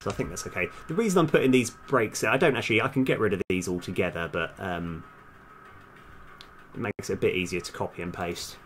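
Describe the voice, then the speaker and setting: A man's voice: on the low side, a little fast, a little hiss or hum. A man chatting casually on a live coding stream, hesitant as he thinks aloud and trails off. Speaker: live-streaming game developer. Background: music, keyboard typing.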